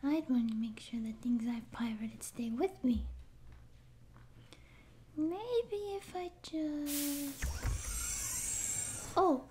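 A young catgirl space pirate in a sci-fi audio roleplay. Her voice is tiny, girlish and extremely high, and she speaks slowly, trying to bluster but sounding timid and eager underneath. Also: a little mellow, slight background noise. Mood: anxious, lonely. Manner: nervous bluster that slips into meek rambling. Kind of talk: scripted ASMR audio roleplay.

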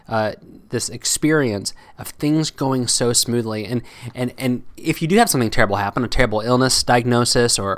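A man's voice: medium pitch, a bit bright. Man talking loosely through a solo podcast. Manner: loose and conversational, with repeated restarts and fillers. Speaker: podcast host.

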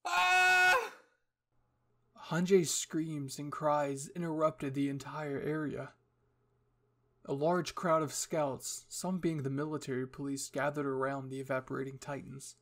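Higher-pitched, creaky male story reader. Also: little pitch movement, a little slow. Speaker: fan fiction reader. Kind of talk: scripted first-person story narration.

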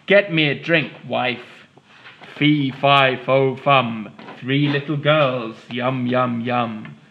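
A man's voice: medium pitch, steady tone, drawn-out words, slight background noise. Storybook giant, male, hungry and grumpy, roars his lines, read by a man. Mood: roaring. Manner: roaring, grumpy. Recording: dull lo-fi recording.

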